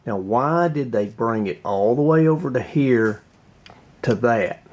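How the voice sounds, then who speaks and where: A man giving a casual hands-on workbench walkthrough, halting and thinking aloud as he explains. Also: mid-range voice, expressive, darkish tone. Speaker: hobbyist radio restorer presenting his repair.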